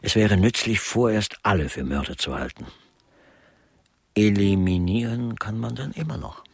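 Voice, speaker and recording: A sardonic artist playing detective in a radio drama. His voice is husky and swings animatedly in pitch, with mannered, literary phrasing and dry irony. The sound is close and dry. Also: expressive, moderate pace, dry recording, full character voice. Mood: sardonic, probing. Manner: mannered, literary, dryly ironic. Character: sardonic male artist playing amateur murder investigator. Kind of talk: German radio play dialogue.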